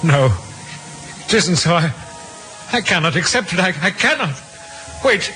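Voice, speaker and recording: An actor playing a smooth, devious Victorian doctor and sorrowful husband in an old-time radio drama, theatrical and measured. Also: male voice, high-pitched, very animated, sharp tone, soft background music, heavily acted. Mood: grief-stricken. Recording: vintage radio drama recording.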